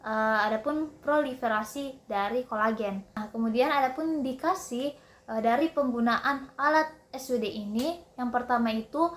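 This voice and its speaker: A young woman giving a prepared instructional presentation as a student, haltingly, often repeating and correcting words. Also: on the high side. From Indonesia. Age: young adult. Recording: roomy, echoing indoor sound.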